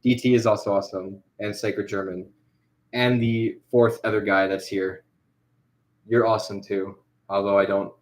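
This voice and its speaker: A young man chatting casually on a livestream while he animates. He rambles, with lots of fillers and restarts. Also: on the low side.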